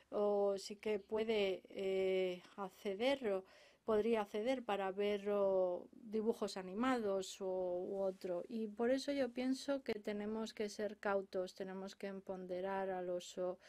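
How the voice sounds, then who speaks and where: A woman working as a simultaneous interpreter at a conference, speaking into a booth headset mic. She talks slowly and carefully, pausing on filler sounds and restarting phrases as she keeps up with the speakers. Her pitch sits in an ordinary middle range. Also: a little mellow. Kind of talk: simultaneous interpretation of panel speeches.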